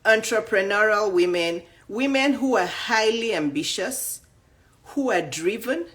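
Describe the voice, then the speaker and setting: A woman's voice: mid-range voice, lively intonation, measured pace, a bit bright. A woman, a coach talking bluntly and emphatically to the camera, rattling off lists of questions. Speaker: dating and life coach. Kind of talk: direct-to-camera coaching talk.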